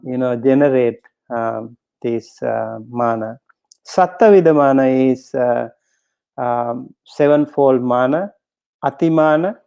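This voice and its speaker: A man, a Buddhist monk, giving a dharma talk in a halting way with many pauses and fillers, reciting Pali terms and then explaining each one. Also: mid-range voice, mellow, smooth. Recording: dull lo-fi recording.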